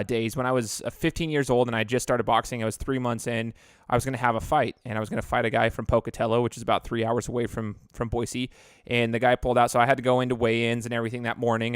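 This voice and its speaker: Chatty podcast host, dry close mic. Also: male voice, medium pitch, level tone, fast talker, no room sound. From Idaho. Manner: chatty, rambling with restarts. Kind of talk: casual interview.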